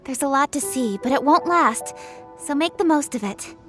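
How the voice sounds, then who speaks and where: A woman's voice: high-pitched, lively intonation, normal speed, fairly bright tone, faint music behind. A woman playing an earnest, conscientious young festival organizer in a video game, sincere and slightly anxious. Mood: earnest, hopeful. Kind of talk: video game dialogue acting.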